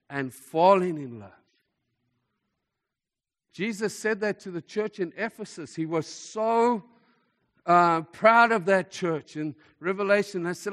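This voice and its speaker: A man preaching a sermon in an emphatic manner, repeating phrases for effect as a preacher to a congregation. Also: sits high. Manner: emphatic, repeats phrases for effect, swings between hushed and forceful delivery. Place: large hall, speaking to a congregation. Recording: echoey hall with long reverb.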